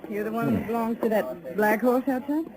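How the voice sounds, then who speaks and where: A woman's voice: a little high, a little fast, natural voice, rough recording. An actress playing a blunt, cynical saloon woman in a 1950s radio western, with a sardonic way of talking. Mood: cool, guarded. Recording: old radio recording with crowd din.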